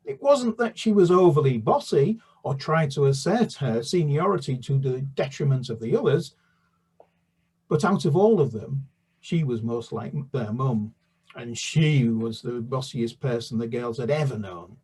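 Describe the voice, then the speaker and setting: A man's voice: mid-range voice, slightly rough, rough recording. A British children's author talking about his books with many restarts, then reading a chapter aloud. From United Kingdom. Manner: halting, many restarts and fillers, then steady narration. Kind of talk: book talk and read-aloud.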